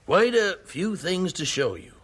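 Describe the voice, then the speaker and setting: A genial, persuasive 19th-century American evangelist for an audio drama. The voice is bright and forceful with a slightly rough edge, rising and falling freely as he talks in a folksy, earnest way. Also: sits high, a little slow, full character voice. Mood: eager. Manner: animated, folksy storytelling.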